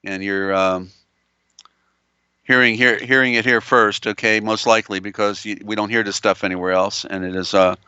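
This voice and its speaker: Male stream host, bright clear tone gone strained and tired, halting and rambling, dry slightly dull audio. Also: lowish voice, fairly even intonation, no room sound. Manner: halting, meandering delivery with many restarts. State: strained, tiring voice. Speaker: live show host. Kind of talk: live-stream lecture on old scriptures.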